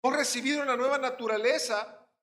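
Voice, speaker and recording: Puerto rican pastor guy preaching hard, bright forceful voice, echoey church. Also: extremely high pitch, steady tone, quick pace. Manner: emphatic preaching, swinging between loud stresses and quiet drops. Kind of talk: sermon.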